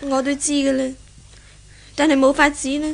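Young woman, high girlish voice, earnest, anxious, Hong Kong Cantonese accent, radio drama. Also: level tone, moderate pace, vocal fry. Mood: apologetic, weary. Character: dutiful, anxious young daughter, shopkeeper's girl. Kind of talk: 1970s radio drama acting.

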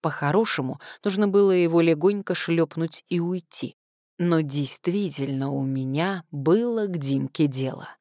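A woman's voice: low-pitched, normal speed, a little mellow, dry recording, clean studio sound. A woman reading first-person fiction expressively as an audiobook narrator.

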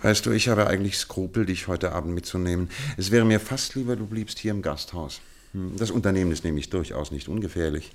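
A commanding radio-play detective with a low-pitched voice. He speaks deliberately and with formal courtesy. His manner is brisk, analytical and fully in charge.

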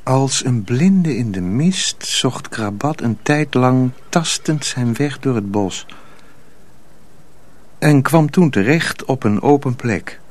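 A man's voice: medium pitch, animated, takes it slowly, a little mellow. A man narrating a radio drama as a scripted storyteller.

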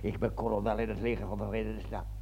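An actor in a radio play voicing a self-important American army colonel who stammers, cajoles and barks orders. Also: male voice, fairly low pitch, level tone, very fast, lightly acted. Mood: pompous, strained. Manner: pompous, stammering, cajoling, switching between whispers and barked orders. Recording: noisy old radio recording with hum.

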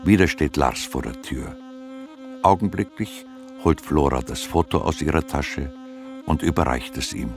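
An actor narrating a radio drama as a sombre, detached storyteller. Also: male voice, very deep voice, unhurried, creaky, over music, full character voice.

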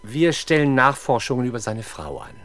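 Casting: sardonic police chief investigator, mid-pitched, expressive, curt and commanding, vintage radio play with clear noise. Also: male voice, normal speed. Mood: probing. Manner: brusque, dry, commanding. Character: gruff, sardonic male police detective in charge. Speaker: actor in a radio crime play. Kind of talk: 1970s German radio drama acting.

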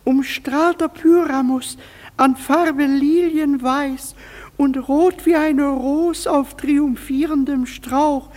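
A man's voice: extremely high pitch, fairly even intonation, a little mellow. A man playing a timid, naive young craftsman who clumsily acts a maiden's part in a comic German radio drama. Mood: timid, stilted. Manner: stilted, halting recitation. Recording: long reverb.

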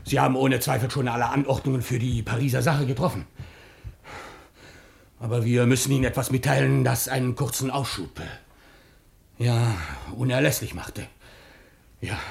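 An actor playing a wry, nervous professor in a German radio play, speaking dryly and stammering with "äh" when flustered. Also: male voice, mid-range voice, moderate pace, long pauses. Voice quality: gravelly. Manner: dry and cautious, flustered and stammering under pressure. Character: nervous, wry older male professor conspirator. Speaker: professor and undercover policeman.

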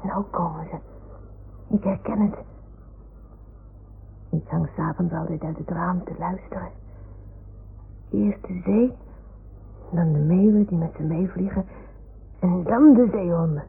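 A woman's voice: lowish voice, a little slow, creaky, long pauses, a little hiss or hum. A woman playing an imaginative little boy in a radio play, speaking with childlike earnestness. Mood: hushed wonder. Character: imaginative little boy talking with his toy animals. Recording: muffled phone-like old radio recording.

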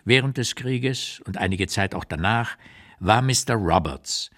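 A man playing an old émigré writer who recalls wartime years in a radio reading, with deliberate, emphatic, literary phrasing and an unsparing eye. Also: fairly low pitch. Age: older. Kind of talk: dramatised literary memoir reading, radio play.

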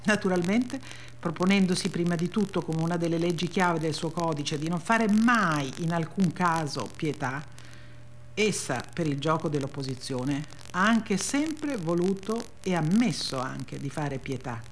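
A woman giving an expressive, dramatic literary reading with measured, emphatic phrasing and deliberate pauses. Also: lowish voice, a little hiss or hum. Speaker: reader on a radio theatre programme.

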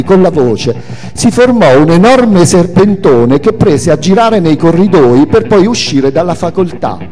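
A man giving an expressive, theatrical reading of a literary memoir. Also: fairly high pitch, rough recording. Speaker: reader of a memoir. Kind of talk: dramatic literary reading aloud with music.

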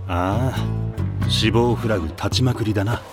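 A man playing a calm senior high school boy in an anime audio drama, with a measured, solemn way of talking in casual slang. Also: over loud music, close to an everyday voice. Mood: eerie, solemn. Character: calm, gentle-faced senior high school boy telling ghost rumours.

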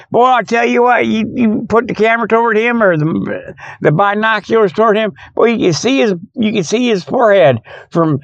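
A man's voice: high voice, dry recording. A man hosting a rambling, casual show from his parked truck in a folksy, jokey, exclamatory way. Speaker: podcast host. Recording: dull, muffled lo-fi audio.

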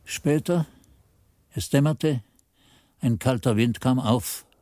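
An actor playing a reflective monk who narrates memories of his youth in a radio drama, measured and contemplative. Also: medium pitch, dark tone, no echo, close to an everyday voice.

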